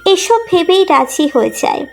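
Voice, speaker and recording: A woman's voice: very high-pitched, expressive, normal speed, faint music behind. A woman reading a scripted emotional romantic story as its narrator.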